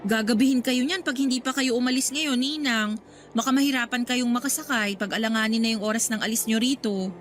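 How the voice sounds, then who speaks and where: A woman's voice: fairly high pitch, brisk, a little hiss or hum, lightly acted. An actress playing a dutiful young working woman in a radio drama, speaking with polite, restrained deference. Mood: politely obliging. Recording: music bed behind the voice.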